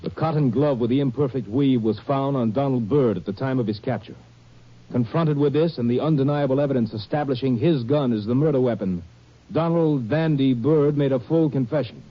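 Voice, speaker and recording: A male narrator closes a case in a plain, matter-of-fact manner for a 1950s radio drama. His voice is of middle pitch. The broadcast sounds dull and lo-fi. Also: a little fast.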